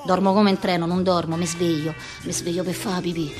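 A woman's voice: fairly low pitch, little pitch movement, brisk, over music, natural voice. An actress playing a neurotic, overwhelmed Roman street woman, rattling off staccato lists in a theatrical monologue with a Roman accent. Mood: restless, anxious. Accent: Roman Italian. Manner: rambling, staccato lists of short phrases.

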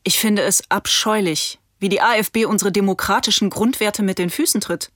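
An actress playing a righteous, eco-minded city mayor in a radio play, articulate and rhetorical. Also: female voice, medium pitch, fairly even intonation, normal speed, fairly bright tone, no echo, clean studio sound, close to an everyday voice. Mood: formal, indignant.

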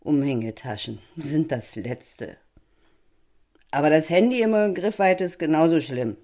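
A tipsy, brittle wife in a stage-like audio drama. Her voice sits low for a woman. She hands down opinions with languid, sardonic certainty. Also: expressive, normal speed, mellow. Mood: tipsy musing. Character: tipsy, opinionated, brittle married woman, guest of another couple. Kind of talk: theatrical audio drama acting.

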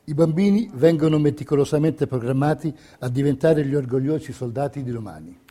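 A man narrating a scripted documentary theatre piece in a measured, declamatory manner. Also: medium pitch, expressive, dark tone. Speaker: stage narrator.

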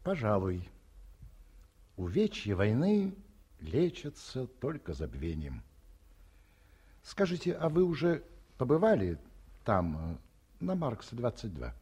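Sly old father, radio play: husky, very swoopy delivery. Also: medium pitch, wildly swooping pitch, slow, long pauses, dark tone, full character voice. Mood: probing. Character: older man, the father, probing and sly. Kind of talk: 1950s radio drama acting.

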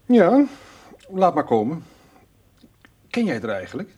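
Hesitant, curt farmer. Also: male voice, fairly high pitch, wildly swooping pitch, unhurried, dark tone. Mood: wry, resigned. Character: reticent, awkward male farmer with money worries. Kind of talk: naturalistic radio drama.

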